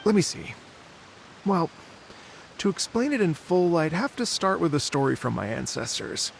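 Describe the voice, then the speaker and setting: An actor playing a polite, mild-mannered wandering musician in an anime game, earnest and a little hesitant, creaky at times. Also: male voice, a little high, big pitch swings, normal speed, slight background noise. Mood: storytelling. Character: genteel, mild-mannered wandering musician, adult man, wistful storyteller.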